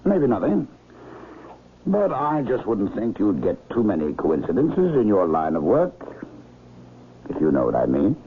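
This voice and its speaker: An actor playing an easygoing, wry doctor in a radio mystery, husky and ruminative, with folksy, teasing doubt. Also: male voice, fairly low pitch, wildly swooping pitch, a little slow, long pauses, noisy background. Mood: musing, wry. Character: musing, wry, easygoing male local doctor helping an investigation. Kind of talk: 1970s radio mystery acting. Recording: tinny, phone-like vintage radio sound.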